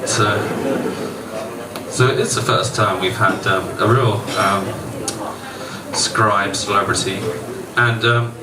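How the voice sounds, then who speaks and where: A man acting as MC, giving an informal, halting live introduction of a guest. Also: fairly low pitch, steady tone, over a noisy crowd. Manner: halting, pausing delivery. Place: noisy bar venue, voice heard from afar. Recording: echoey, distant, very noisy live venue. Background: loud venue ambience.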